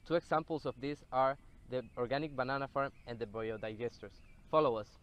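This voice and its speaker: A young man, reading a scripted video narration in a steady, explanatory way. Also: medium pitch, brisk, lo-fi sound. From El Salvador. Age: young adult. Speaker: student presenter.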